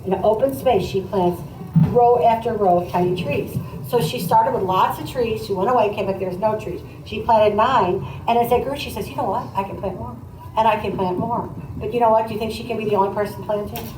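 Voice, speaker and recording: A woman teacher reading a picture book aloud, pausing to comment and put questions to her listeners in a conversational way. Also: medium pitch. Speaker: teacher demonstrating a read aloud to pupils. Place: echoey indoor classroom. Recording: roomy, distant mic, faint background noise.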